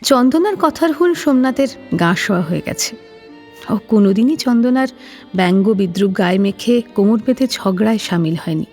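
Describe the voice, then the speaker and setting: A woman's voice: mid-range voice, animated, normal speed, mellow, in a large hall, faint music behind. A woman narrating a scripted story dramatically, as presenter of an audio drama.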